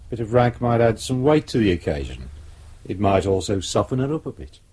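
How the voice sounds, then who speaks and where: A British actor playing an authoritative senior police superintendent in a radio drama, formal and deliberate. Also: male voice, lowish voice, very animated, a little mellow, husky. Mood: measured.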